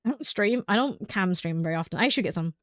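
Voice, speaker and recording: A woman's voice: medium pitch, expressive, fast, no echo, clean studio sound. A young woman streaming a game at home, chatting casually with viewers, laughing often and filling her talk with "like". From United Kingdom. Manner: chatty and giggly, many "like" fillers.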